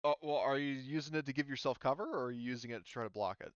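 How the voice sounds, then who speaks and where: Male game master, casual tabletop narration. Smooth tone, dry and slightly dull recording. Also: medium pitch, very fast, no room sound. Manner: casual, thinking aloud.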